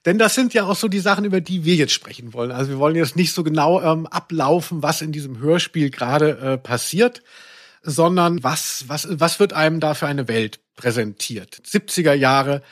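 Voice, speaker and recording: A man with a slightly high voice hosts a casual media podcast. He talks in long, winding sentences with a tongue-in-cheek streak. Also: normal speed. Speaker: podcast co-host. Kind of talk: casual, chatty media discussion.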